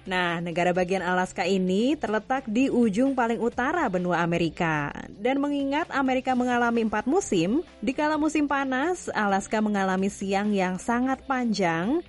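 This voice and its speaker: A woman hosting a radio programme, presenting and putting questions to a guest. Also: on the high side. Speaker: radio host and interviewer.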